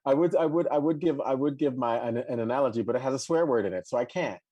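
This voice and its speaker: Man, dark husky voice, animated, stop-start, podcast co-host. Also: fast talker. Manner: animated, many restarts, bursts of high laughing exclamations. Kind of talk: casual live chat.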